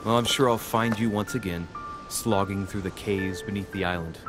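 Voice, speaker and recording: A man voicing a wry young gentleman in a video game cutscene, dry and sardonic. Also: lowish voice, steady tone, moderate pace, over music, close to an everyday voice. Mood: dry, weary. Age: young adult. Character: wry young gentleman searching for his missing mother. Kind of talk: video game cutscene acting and inner monologue.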